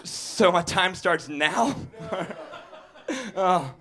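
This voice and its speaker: Young male comic, stutter, slow delivery, bright high voice, handheld stage mic. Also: animated. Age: 20s. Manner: stutters and laughs at his own jokes. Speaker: stand-up comedian. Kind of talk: stand-up set. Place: live comedy stage.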